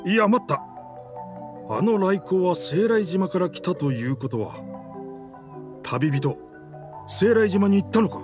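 A man's voice: high-pitched, animated, a little slow, long pauses, music in the background. A man voicing a game character, the proud, wistful spirit of an old pirate warship, with rugged, old-fashioned, theatrical speech. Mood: shaken, awed.

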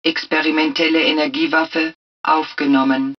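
A woman voicing the calm computer of a powered combat suit in a video game, giving clipped, impersonal, matter-of-fact system announcements. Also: sits low, moderate pace, distant mic, lo-fi sound, faint music behind, natural voice. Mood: impersonal, alert.

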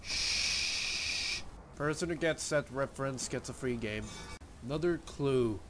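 A man's voice: medium pitch, slow, very noisy. A young man with as his language, giving loose, profane and jokey let's play commentary. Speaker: gamer. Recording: game sounds under commentary.

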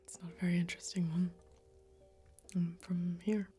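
A young woman playing a gentle, wistful warrior in an ASMR fantasy role-play, speaking in a slow, breathy, hushed and intimate way with long pauses. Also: on the low side, level tone, faint music behind, natural voice. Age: young adult. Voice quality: breathy, whispery.

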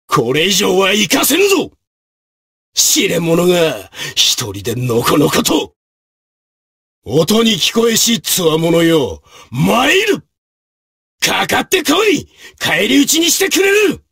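An actor voicing a brave, martial samurai officer in a video game, with gruff, raspy, declamatory delivery in archaic period speech. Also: male voice, high-pitched, heavily acted. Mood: grave. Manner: forceful, declamatory.

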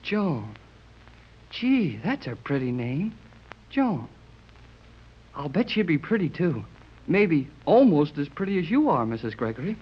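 A man's voice: on the high side, big pitch swings, unhurried, long pauses, breathy edge, highs cut off, heavily acted. An actor playing an eager, earnest, polite teenage boy in an old 1930s radio drama. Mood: admiring. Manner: earnest, boyish, folksy exclamations.

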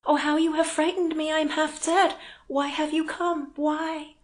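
Woman acting a high, breathless, anguished young wife. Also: fairly even intonation, unhurried, husky. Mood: breathless fright. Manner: breathless, pleading, emphatic. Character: anguished young married woman, frightened, pleading, lovestruck. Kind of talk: dramatic audiobook character reading.

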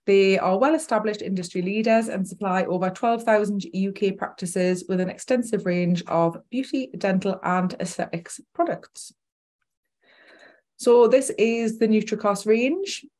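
A woman's voice: mid-range voice, unhurried, a little mellow. Female webinar presenter, forties, Geordie accent, informal delivery, mid-range voice. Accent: Geordie, Newcastle. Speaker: dentist presenting a product webinar.

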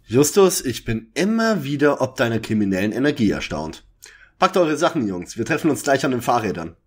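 A man's voice: mid-range voice, very animated, fairly quick, a little hiss or hum, lightly acted. A man playing a sensible, earnest teenage detective in an audio drama parody. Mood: reproving.

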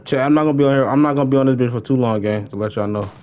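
A man's voice: mid-range voice, rapid-fire. A young man chatting casually on a gaming livestream, laid-back and slangy, repeating his phrases. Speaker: live-streamer. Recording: dull, slightly noisy mic.

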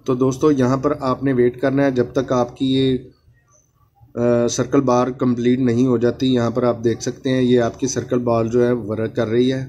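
Flat-voiced man. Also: mid-range voice, normal speed. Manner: repetitive instructional phrasing, addressing viewers as friends. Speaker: phone repair tutorial host. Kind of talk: step-by-step hands-on tutorial narration. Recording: noisy home recording.

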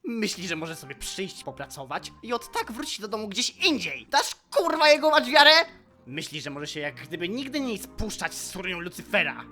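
Woman playing a ranting crude male pimp boss, wild swings, bright edge, over music. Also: mid-range voice, very animated, moderate pace. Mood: raging. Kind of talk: fan dub acting.

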